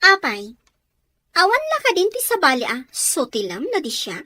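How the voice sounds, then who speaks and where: Woman doing bratty schoolgirl for radio drama, super high bright voice, snaps at everyone. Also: very animated, normal speed, no room sound, exaggerated voice. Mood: confrontational. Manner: snappy, confrontational. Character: spoiled, quarrelsome young schoolgirl daughter, rude and defiant. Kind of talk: Ilocano audio drama acting.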